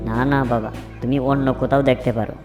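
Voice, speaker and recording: A wary forest creature voiced by a man talks in a middling pitch in a fable cartoon, with a protesting, cautious manner. Music plays clearly underneath. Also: fairly even intonation, fairly quick, close to an everyday voice. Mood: wary, refusing. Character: wary forest animals: crow, fox and heron. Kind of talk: children's fable cartoon dialogue.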